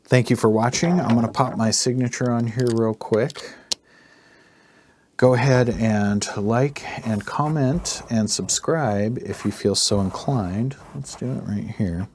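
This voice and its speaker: A man narrating a relaxed drawing tutorial as the channel host, his voice slightly hoarse, talking loosely with fillers. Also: medium pitch, a little slow, slight background noise.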